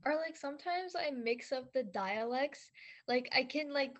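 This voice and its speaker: A woman's voice: high voice, expressive, unhurried. A young woman whose first language is Urdu, co-hosting a podcast and talking earnestly in long, rambling sentences full of "like". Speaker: podcast co-host. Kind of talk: casual podcast chat. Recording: dull lo-fi audio.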